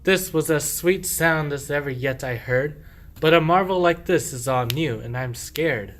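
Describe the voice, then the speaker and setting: A man's voice: on the high side, a little slow, lightly acted. A man playing a humble, devout medieval shepherd in a radio play, declaiming rhymed verse. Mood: awed.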